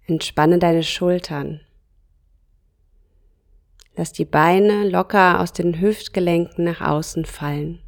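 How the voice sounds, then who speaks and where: A woman guiding a yoga nidra relaxation, speaking softly and calmly in short phrases with long pauses. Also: on the low side, gravelly. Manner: slow, soft and calm, with pauses between short phrases. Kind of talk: guided relaxation meditation.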